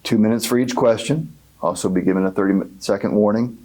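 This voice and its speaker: A man's voice: lowish voice, fairly quick, dark tone. A man moderating a candidate forum, explaining procedure and handing over turns in a casual, accommodating way.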